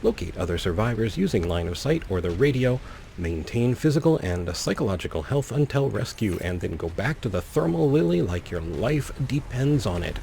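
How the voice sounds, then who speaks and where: A man's voice: lowish voice, sing-song, moderate pace, creaky, mellow, a little hiss or hum. A man hosting a let's play, commentating and reading game text aloud with wry, playful asides. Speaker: gaming video host.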